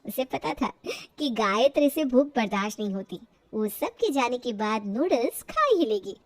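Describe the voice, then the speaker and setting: A woman's voice: a little high, big pitch swings, normal speed. A woman narrating a scripted family moral tale as a storyteller.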